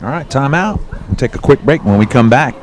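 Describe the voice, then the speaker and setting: A man calling play-by-play at a racquetball match, with short relaxed remarks. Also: mid-range voice, fairly quick, a little hiss or hum. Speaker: play-by-play commentator. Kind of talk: live sports commentary.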